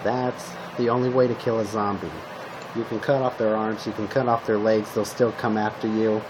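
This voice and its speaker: A man improvising a casual roleplay monologue as a calm zombie apocalypse survivor, talking to the listener in short, hesitant fragments. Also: on the low side, moderate pace, noisy background. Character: calm male zombie apocalypse survivor giving weapon tips. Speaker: roleplay video creator playing a zombie survivor.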